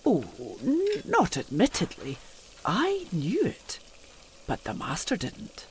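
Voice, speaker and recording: Casting: a timid, deferential period housekeeper, halting and slow, for gothic audio drama. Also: female voice, mid-range voice, huge pitch swings, slight background noise. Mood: uneasy, confiding. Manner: hesitant, halting, old-fashioned servant's speech. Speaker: actor in an audio play.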